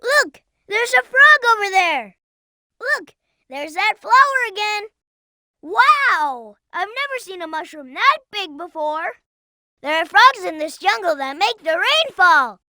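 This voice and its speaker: A performer voicing a young boy fox sidekick in a video game, childlike, earnest and excitable, eager to explain things. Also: extremely high pitch, a little slow, no echo, studio quality, heavily acted. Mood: excited, amazed, then earnest, observant. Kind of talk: video game character voice acting.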